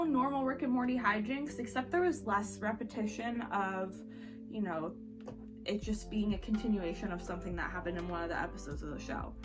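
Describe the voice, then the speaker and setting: A woman talking casually and conversationally as a book vlogger, giving informal reviews with wry asides. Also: on the high side.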